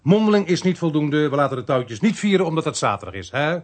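An actor playing a brisk, dry, authoritative police inspector in a radio play, speaking Netherlands Dutch in a curt, wry, commanding manner. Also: male voice, fairly high pitch, sing-song. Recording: noisy archive radio play recording.

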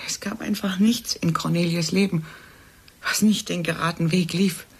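Very husky, restrained professional woman. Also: fairly low pitch, fairly even intonation. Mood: shaken, halting. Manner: halting, restrained, trailing into whispers. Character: composed professional woman, stunned by her friend's death. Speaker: actor in a radio play. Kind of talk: German radio drama acting, naturalistic dialogue.

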